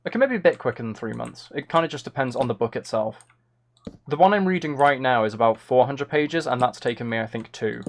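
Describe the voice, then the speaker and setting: A man's voice: mid-range voice, a little fast. A young man chatting casually while he streams a game, rambling and self-deprecating into a close mic. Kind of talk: casual live-stream chat while gaming.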